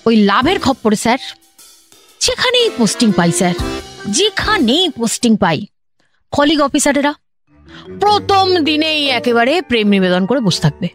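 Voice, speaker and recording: A woman's voice: high voice, very animated, normal speed, long pauses, faint music behind. An actress playing a talkative, self-pitying woman government officer in a comic radio drama, gushing with complaints and repeating herself. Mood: chatty, indignant.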